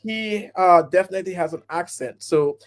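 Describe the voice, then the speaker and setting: A man's voice: sits high, normal speed. A man from Guyana hosting an interview, friendly and prone to repeating himself with lots of "um". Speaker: podcast host. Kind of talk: casual interview.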